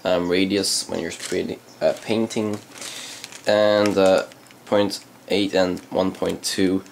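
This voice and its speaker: Very deep Dutch man showing parts. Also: level tone, gravelly, slight background noise. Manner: halting, many ums and uhs. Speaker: channel host reviewing PC parts. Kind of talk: casual unboxing talk. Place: indoors at a desk, hands on camera.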